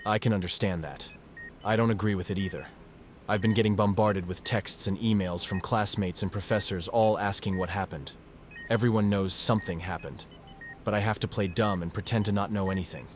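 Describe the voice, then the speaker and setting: A man's voice: on the low side, steady tone, brisk, slight background noise, natural voice. A man playing a shaken young medical student, narrating and acting in a horror audio drama in an earnest, somber way. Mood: subdued. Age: young adult.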